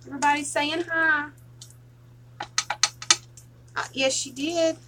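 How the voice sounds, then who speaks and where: A woman hosting a casual crafting livestream, chatting softly with viewers while she works. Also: sits high, steady tone, takes it slowly, heavy background noise. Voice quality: soft, relaxing voice.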